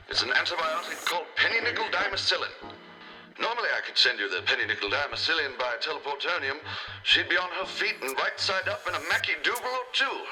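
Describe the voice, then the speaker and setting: A man playing a tiny, ancient, courteous alien doctor with a formal, measured way of explaining, over the radio in a children's space drama. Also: on the high side, bright, forceful tone, poor audio.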